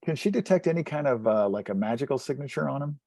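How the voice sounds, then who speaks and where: A man's voice: mid-range voice, expressive, quick pace, vocal fry, darkish tone, no echo, clean studio sound. A man playing a tabletop roleplaying game, chatting casually and asking questions as a player.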